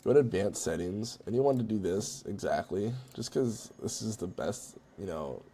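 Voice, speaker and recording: Deep-voiced, gravelly casual guy presenting a tutorial. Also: animated, moderate pace, dark tone, a little hiss or hum. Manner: informal, conversational, checks in with "right?" and "you know". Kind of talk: casual screen-recorded software walkthrough.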